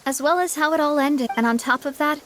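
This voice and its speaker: A woman playing a confident, cheerful young female leader in a video game, with a breezy, expressive, boastful manner. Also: high voice, a little fast, slight background noise. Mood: sincere, reflective.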